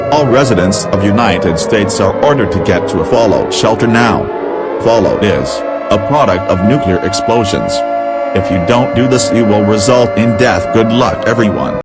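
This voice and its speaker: A man reading out a mock emergency broadcast alert in a flat, formal announcement style. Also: on the low side.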